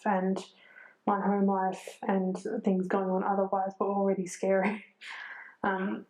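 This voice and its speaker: A woman's voice: medium pitch, warm tone, low-quality recording. A young Australian woman candidly recalling a hard time, hesitant and full of fillers. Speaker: speaker in a community film. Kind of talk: candid personal recollection.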